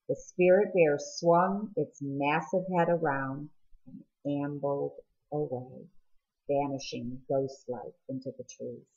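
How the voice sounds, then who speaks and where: Female story reader, slow delivery, lowish voice, slightly lo-fi sound. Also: warm tone. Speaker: audiobook reader. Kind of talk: scripted story narration.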